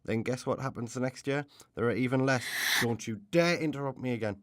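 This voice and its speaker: A man plays an impatient, opinionated spirit of a season in a radio play. His voice is mid-pitched and closely, dryly recorded, with a blunt way of airing complaints. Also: a little fast, dry recording, natural voice. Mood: aggrieved. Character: opinionated, impatient male season spirit who defends bees. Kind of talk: radio drama acting.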